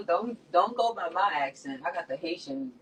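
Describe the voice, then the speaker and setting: A woman's voice: lowish voice, animated, normal speed, distant mic, heavy background noise. A woman chiming in from off-mic, playfully correcting and teasing in casual chat.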